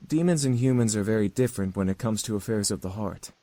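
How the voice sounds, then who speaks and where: A man acts out a reserved, scholarly incubus from a visual novel game. He speaks formally and courteously, as if explaining, in a fairly low voice recorded very dry and close. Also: fast, warm tone, no echo, lightly acted. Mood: earnest, lecturing. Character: polite, scholarly, reserved male incubus demon. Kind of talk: visual novel character acting.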